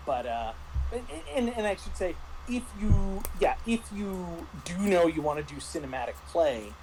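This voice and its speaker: Man chatting over clearly noisy background. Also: high voice, very animated, normal speed. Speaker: guest game master. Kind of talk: casual chat reviewing a tabletop game.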